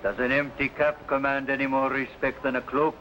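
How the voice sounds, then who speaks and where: A man's voice: medium pitch, level tone, a little fast, dull audio, natural voice. A man plays a proud, protective father and bowman in an old adventure serial. His mid-range voice declaims solemn, archaic lines. The old broadcast recording is plainly noisy. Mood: defiant. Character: proud, defiant male archer, protective father.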